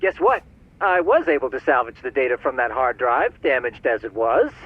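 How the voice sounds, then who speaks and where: A man's voice: mid-range voice, big pitch swings, normal speed. An actor playing a polite, geeky male tech sleuth in a video game, formal and wordy on a phone call. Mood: eager. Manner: formal, wordy, slightly theatrical. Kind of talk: video game cutscene dialogue.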